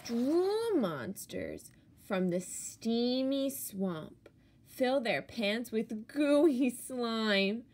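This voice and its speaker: A woman with a fairly high voice reads a children's picture book aloud at a very slow pace. She is expressive and deliberate, leaning theatrically on key words as a teacher does for young listeners. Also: slight background noise.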